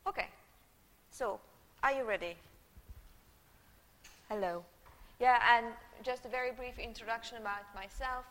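Bright-voiced Hungarian woman lecturing in a hall. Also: on the high side, a little slow, long pauses. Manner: chatty, checks in with the audience often. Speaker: university lecturer. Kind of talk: live university lecture. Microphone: room microphone. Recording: long hall reverb.

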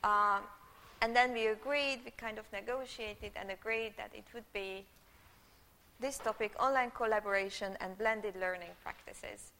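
A woman's voice: medium pitch, steady tone, moderate pace, sharp tone, a little hiss or hum. A middle-aged Hungarian woman and university lecturer, a native Hungarian speaker, giving a live lecture into a room microphone, chatty and checking in with her audience often. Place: lecture hall. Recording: long hall reverb.

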